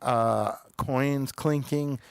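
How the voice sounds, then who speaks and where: A man's voice: medium pitch, fairly even intonation, very slow, gravelly, smooth, no echo, clean studio sound. A man hosting a casual review chat, often hesitant as he searches for words and details. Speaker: show host reviewing television episodes.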